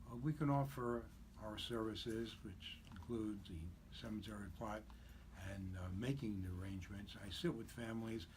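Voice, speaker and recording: A man in his seventies, born in Czechoslovakia and raised in New York, explains his work in a steady, businesslike way as a guest on a radio interview. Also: lowish voice. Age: 70s. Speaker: guest, cemetery broker. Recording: radio broadcast with steady background noise.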